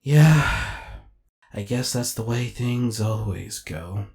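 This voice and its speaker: A man voicing an excitable, insecure robot jet in an animated comic dub, dramatic and hesitant when unsure. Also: medium pitch, slow pace, vocal fry. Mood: disappointed.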